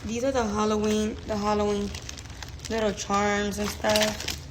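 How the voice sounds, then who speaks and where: A woman nail technician with an accent chatting excitedly as she unboxes her supplies in a casual vlog. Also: medium pitch, measured pace, noisy background. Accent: non-native English accent. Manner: chatty and excited, lots of fillers. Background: loud crinkling and rustling of packaging.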